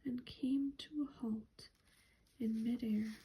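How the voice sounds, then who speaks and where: Slow whispering woman narrator. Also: fairly high pitch, fairly even intonation, heavy background noise. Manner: whispered, unhurried. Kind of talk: whispered ASMR story reading.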